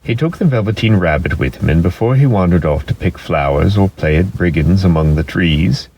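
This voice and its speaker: Male narrator, very low pitch, animated storybook reading, drawn-out emphasis, faint background noise.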